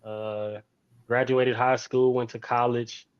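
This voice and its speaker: A man tells his story in an interview. He takes his time, speaking slowly at a middling pitch and circling back on phrases to stress them. The audio is a little muffled and lo-fi. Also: little pitch movement, clear, resonant tone. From Fort Worth, Texas, United States. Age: 40s. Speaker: interview guest, teacher.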